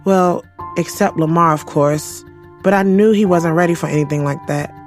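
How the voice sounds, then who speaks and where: A woman's voice: lowish voice, moderate pace, faint music behind, natural voice. Woman acting as sassy young single woman, wry and slangy. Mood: wry, exasperated. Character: sassy single young woman, frank, streetwise, self-amused dater. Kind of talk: first-person audio drama narration and dialogue.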